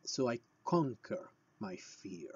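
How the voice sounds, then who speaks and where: A man from Peru with a Peruvian Spanish accent and a husky voice, explaining and telling stories to viewers in short, emphatic phrases with deliberate pauses. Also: fairly low pitch, big pitch swings, no echo, muffled recording. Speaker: shamanic healer presenting a video. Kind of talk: explanatory talk with personal storytelling.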